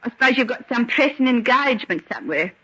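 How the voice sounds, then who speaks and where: A woman's voice: on the high side, expressive, quick pace, fairly bright tone, breathy edge. An actress playing a bitter, wronged working-class young woman with a sardonic, defiant manner in an old-time radio drama. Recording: dull, lo-fi vintage radio recording.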